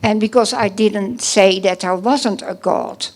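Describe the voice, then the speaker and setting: A middle-aged woman from the Netherlands with a Dutch accent, giving a personal testimony at a church service in a halting way, with many pauses and restarts. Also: medium pitch, steady tone, darkish tone.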